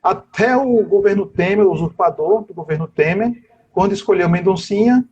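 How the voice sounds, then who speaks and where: A fairly high-voiced man with a husky, slightly breathy voice, giving an interview. He talks at length in a discursive way and keeps tagging his sentences with little questions. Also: moderate pace, darkish tone, highs cut off. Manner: discursive, with constant tag questions. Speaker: interviewed teacher. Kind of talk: political interview over a live stream.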